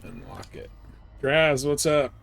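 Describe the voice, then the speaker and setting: A man's voice: medium pitch, animated, slow pace, a bit bright. A man livestreaming a video game, thinking aloud in casual commentary and chatting with viewers. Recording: voice over clear background noise.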